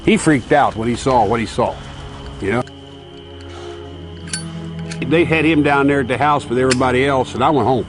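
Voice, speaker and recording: Man, mid pitch, casual recollection, plain repetitive phrasing, faint music behind. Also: moderate pace, long pauses. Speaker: documentary interviewee.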